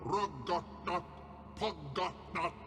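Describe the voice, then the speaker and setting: A man voicing a big, slow-witted male troll who speaks in broken grammar and calls himself by name. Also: high-pitched, steady tone, sharp tone, in a large hall, slight background noise, exaggerated voice. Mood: stubborn.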